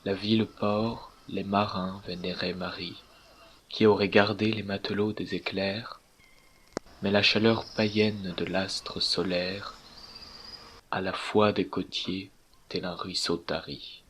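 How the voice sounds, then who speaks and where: A man reciting scripted verse in a measured, declamatory way, pausing between phrases. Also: low-pitched, a bit bright, a little hiss or hum, poor audio.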